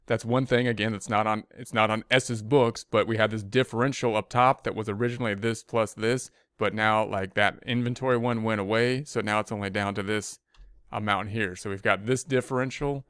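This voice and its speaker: A man's voice: lowish voice, fairly bright tone, smooth, no room sound, studio quality. A man teaching an accounting lesson over a spreadsheet screen recording, thinking aloud with frequent restarts and fillers. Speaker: accounting instructor.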